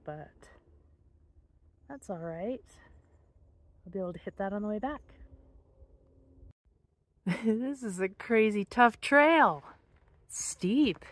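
A woman's voice: mid-range voice, no echo. A middle-aged woman chatting casually and musingly to her phone as she films a hiking vlog outdoors. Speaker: hiking vlogger. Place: outdoors on hillside forest trails. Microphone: handheld phone at arm's length. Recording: outdoor phone audio with background noise.

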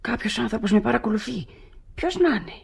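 An actor playing a naive, chatty servant go-between in a radio comedy, husky and secretive, with halting speech. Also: male voice, extremely high pitch, animated, full character voice. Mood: furtive, nervous. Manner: halting, secretive, chuckling simpleton. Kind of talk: Greek radio theatre acting of classic comedy.

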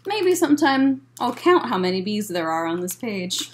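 A woman in her thirties reading a picture book aloud as a children's librarian, in measured phrases with chatty asides. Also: mid-range voice, big pitch swings. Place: library room, indoors.

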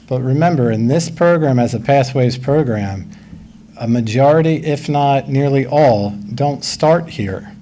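Middle-aged man, school official: mellow, calm and courteous, table mic, faint room hum. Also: medium pitch. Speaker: school official answering the public. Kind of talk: formal reply at a public meeting. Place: large school meeting room. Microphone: table mic close in front.

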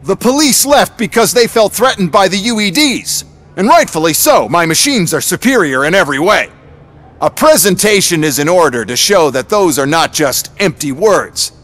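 An arrogant corporate tech boss in a video game. He has a high voice and a slick, self-important presenter's delivery. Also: animated, normal speed, a bit bright. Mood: dismissive. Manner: pompous salesman's presentation. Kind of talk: video game cutscene acting.

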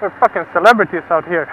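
A man's voice: high voice, phone-quality sound. A young man talking casually from his motorcycle while riding, laughing often and swearing freely. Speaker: motovlogger. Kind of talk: casual helmet-cam commentary. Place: on a motorcycle outdoors on the road. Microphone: helmet-mounted mic. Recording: faint road and engine noise.

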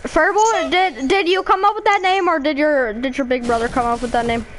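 A woman chatting casually while playing an online shooter, excitable and teasing. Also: high voice, a little fast, soft background music. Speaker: gamer. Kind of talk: casual gaming chat.

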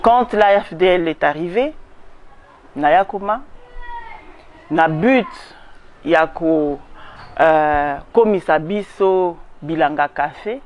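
A woman's voice: low voice, slow pace, pauses often, a little mellow, lo-fi sound, a little hiss or hum. A woman in her forties with a Congolese accent, giving an emphatic outdoor interview on a lapel mic. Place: outdoors in a garden.

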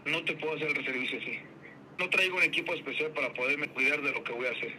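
A man's voice: fairly high pitch, steady tone, quick pace, pushed and bright, a little hiss or hum. A man with a Mexican accent on a phone call with a customer, polite and hesitant, often repeating himself. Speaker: furniture cleaning service worker. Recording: phone call with music behind.

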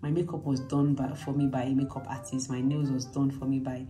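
A woman's voice: extremely low pitch, flat delivery, brisk, warm tone, soft background music. A young adult woman with a Nigerian accent, chatting casually and expressively to her travel vlog on a phone. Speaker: vlogger. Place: hotel rooms, indoors. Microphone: phone at arm's length.

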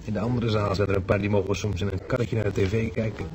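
A man's voice: lowish voice, steady tone, quick pace, noisy background, lightly acted. An actor playing a blunt, teasing married man who is a hospital patient, in a naturalistic radio drama. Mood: complaining.

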